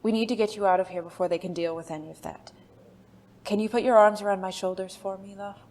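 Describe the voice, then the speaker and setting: A woman playing a refined, secretive former spy in an audio drama, speaking formally and haltingly, with endearments. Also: mid-range voice, steady tone, darkish tone, natural voice. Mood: tender, anxious.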